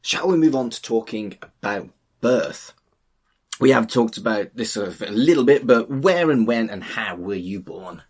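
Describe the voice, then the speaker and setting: A man hosting a podcast interview, asking questions in a relaxed conversational way and swearing bluntly now and then. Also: lowish voice, normal speed, breathy edge. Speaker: podcast host, humanist celebrant. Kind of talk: casual interview.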